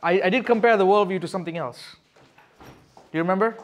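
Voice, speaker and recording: A man's voice: high-pitched, quick pace, a little hiss or hum. A man from Sri Lanka teaching as a youth pastor in a casual, question-driven way, explaining things informally. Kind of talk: casual interactive teaching talk.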